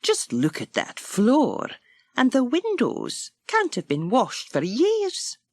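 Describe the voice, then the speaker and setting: A woman's voice: on the high side, wildly swooping pitch, takes it slowly, dry recording, exaggerated voice. A woman voicing a fussy, house-proud village lady in a children's storybook. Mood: disapproving.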